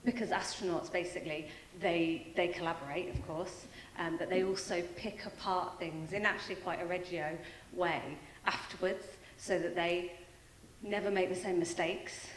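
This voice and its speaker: A woman's voice: lowish voice, steady tone, moderate pace, slight background noise. British woman musing aloud from the floor. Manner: musing, chatty, laughs lightly. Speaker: audience member. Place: large hall. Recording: echoey hall.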